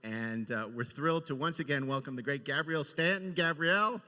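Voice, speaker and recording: A man hosting unscripted, introducing things effusively and enthusiastically, with a halting, filler-heavy delivery. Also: on the high side, sharp tone. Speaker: podcast and convention panel host. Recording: echoey hall with long reverb.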